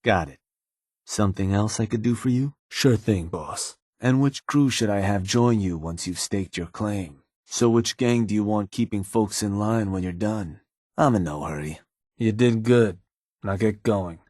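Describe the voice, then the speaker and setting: A raider gang's fixer in a video game, low-voiced and rough with husk. He talks like a wry, streetwise middleman briefing his boss, easygoing but all business. The sound is close and dry. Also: measured pace, a little mellow, no room sound. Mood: businesslike, easygoing. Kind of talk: in-game character dialogue, conversational delivery.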